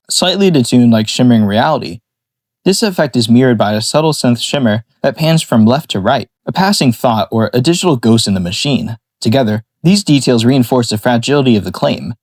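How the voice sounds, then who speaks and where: A man reading a scripted analysis of a song in a measured, explanatory way. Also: medium pitch, expressive, darkish tone, no room sound. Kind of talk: scripted narration.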